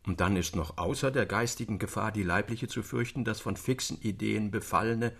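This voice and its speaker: Gravelly, low-voiced skeptical gentleman. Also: normal speed, exaggerated voice. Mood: uneasy, insistent. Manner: formal, argumentative. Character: skeptical educated gentleman uneasy about madmen. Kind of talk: literary radio drama dialogue.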